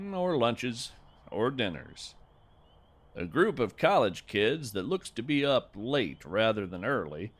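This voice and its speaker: A man playing a gentle, folksy naturalist who hosts a scripted field podcast with earnest, lyrical wonder. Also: mid-range voice. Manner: deliberate, lyrical, earnest delivery. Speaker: podcast host and narrator. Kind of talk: scripted narration with poetry reading.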